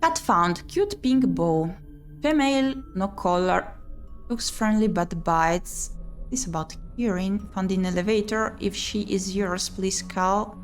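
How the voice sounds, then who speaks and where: A woman doing let's play commentary, reading visual novel text aloud expressively with muttered reactions. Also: mid-range voice. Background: game music.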